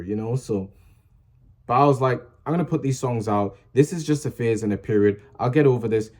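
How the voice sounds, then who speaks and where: A young man speaks in a lowish, mellow voice to his channel's viewers. His talk rambles, full of "you know" and restarts, but stays earnest and encouraging throughout. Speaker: small music channel creator. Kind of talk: casual motivational vlog talk.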